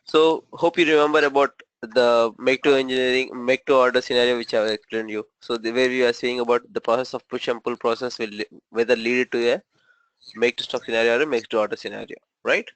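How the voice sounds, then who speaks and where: A man's voice: mid-range voice, fairly even intonation, fast talker, fairly bright tone, smooth, dry recording, low-quality recording. Man teaching over a video call. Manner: explanatory, checks in with "right?". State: has a cold, voice low. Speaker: SAP trainer. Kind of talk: online training session.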